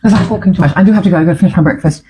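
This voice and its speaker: A woman's voice: fairly low pitch, very fast, warm tone, slight background noise. A woman from Fiji raised in England, with an English accent, hosting a casual chat in a playful manner that is full of endearments. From born in Fiji, raised in England. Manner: chatty, playful, calls people darling. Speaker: podcast host.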